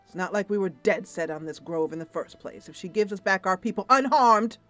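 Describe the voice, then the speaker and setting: A woman's voice: mid-range voice, lively intonation, fairly quick, a bit bright, no room sound, faint music behind, lightly acted. A woman voicing a wary, grudging but reasonable male lumberjack in a fantasy roleplay, plain-spoken and bargaining. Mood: grudging agreement. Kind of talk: tabletop fantasy roleplay character.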